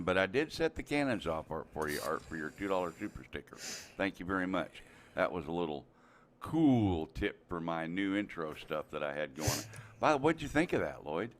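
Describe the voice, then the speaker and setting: Low-voiced male live-stream host. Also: very animated, normal speed. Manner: joking, chatty, reads out viewer names. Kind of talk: casual live-stream chat.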